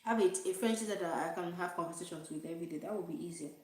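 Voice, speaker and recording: Young Nigerian woman, low-ish voice, rambling self-deprecating livestream chat, very echoey room. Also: racing, darkish tone. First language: Igbo. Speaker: vlogger.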